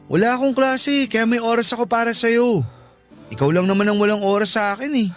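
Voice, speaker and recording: Pleading young Filipino college suitor. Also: male voice, extremely high pitch, fairly even intonation, brisk, faint music behind. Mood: eager, coaxing. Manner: pleading, persistent. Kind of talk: Filipino radio drama acting.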